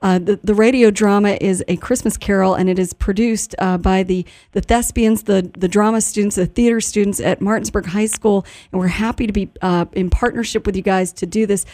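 A woman's voice: medium pitch, fairly even intonation, fairly quick, hardly any pauses, mellow, dry recording. Woman radio host, close dry sound. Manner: effusive, complimentary. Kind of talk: conversational radio interview.

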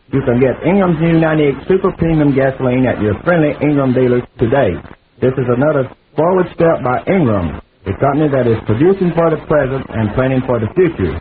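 A man, an athlete, reading a scripted radio endorsement. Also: medium pitch. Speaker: athlete giving a commercial endorsement. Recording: tinny, noisy old radio recording.

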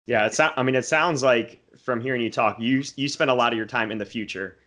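A man's voice: mid-range voice, a little fast, fairly bright tone. A man interviewing a gym owner, reflecting back what he has heard in a casual conversation.

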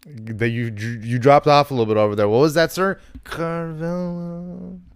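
Male comedy podcast host, mid-pitched, clean resonant voice, casual joking chat. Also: fast, clean tone.

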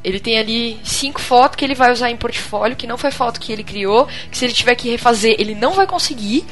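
A Brazilian woman co-hosting a podcast, chatting casually in a blunt, expressive and colloquial way. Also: fairly high pitch, fast talker, soft background music. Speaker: podcast co-host. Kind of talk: casual group chat.

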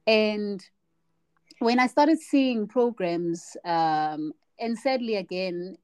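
A woman's voice: mid-range voice, expressive, slow, darkish tone, clear, resonant tone. A young woman from Africa, co-hosting a casual podcast chat, talking reflectively and searching for words with frequent restarts. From South Africa. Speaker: podcast co-host.

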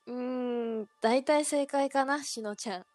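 Woman acting a bright-voiced girl telling a spooky legend, chatty, dry mic. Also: high-pitched, a little slow, no room sound, faint music behind. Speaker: radio drama performer. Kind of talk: amateur radio drama acting.

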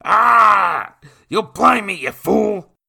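A man voicing a menacing criminal stepfather who talks in gruff, ungrammatical street slang. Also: on the low side, sing-song, moderate pace, pushed and bright, pitched above the performer's own voice, exaggerated voice. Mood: pained shouting. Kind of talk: audiobook character voice.

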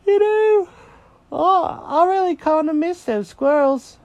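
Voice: very high-pitched, slow pace, darkish tone, no echo, voice pushed up, exaggerated voice. A storyteller voicing a fussy, sensitive male cat with a prim, fretful and polite way of talking. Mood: wistful, puzzled.

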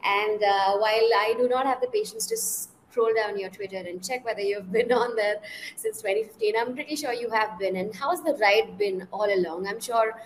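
Woman host, polite and agreeable, normal pitch, a bit of background hiss. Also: expressive, moderate pace, low-quality recording. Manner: polite, agreeable, encouraging follow-up questions. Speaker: interviewer host. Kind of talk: casual interview.

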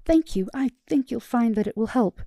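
A woman's voice: fairly high pitch, lively intonation, normal speed, no echo, studio quality, pitched above the performer's own voice. A woman voicing an eager, strained-polite male robot therapist in a fan audiobook. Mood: encouraging. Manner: bright, encouraging, strained politeness.

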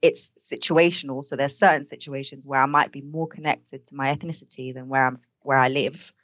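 Low-voiced woman talking reflectively. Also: fairly even intonation, fairly quick, fairly bright tone, dry recording. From born in Denmark, East African heritage. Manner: reflective and candid. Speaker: panel guest, consultant. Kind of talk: conversational podcast discussion.

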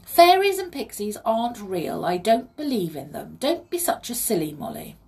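A woman's voice: on the high side, very animated, normal speed, a little mellow, distant mic, voice pushed up. Woman voicing a stubborn, bossy young boy. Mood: obstinate, dismissive. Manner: brusque, insistent. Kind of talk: children's storybook character voice.

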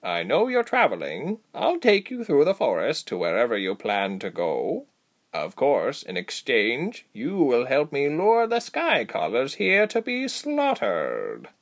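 A man voicing a blunt, protective female beast warrior in a storybook, speaking slowly and deliberately. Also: mid-range voice, wildly swooping pitch, voice pushed up. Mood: blunt, commanding. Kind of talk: audiobook character voice.

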